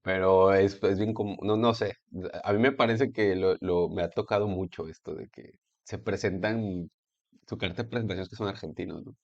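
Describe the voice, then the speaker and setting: A man's voice: low-pitched, fairly even intonation, normal speed. A man with a Mexican Spanish accent giving a casual commentary monologue, rambling with many fillers, restarts and sarcastic asides. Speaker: video essayist. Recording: lo-fi home computer recording.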